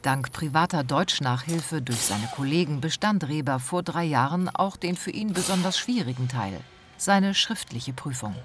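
A woman reading a scripted documentary voice-over in a calm, measured, informative way. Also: sits low, background noise. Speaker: documentary narrator.

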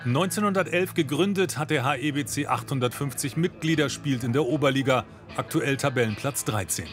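A man's voice: mid-range voice, a little fast, faint music behind. A man narrating a scripted television news report.

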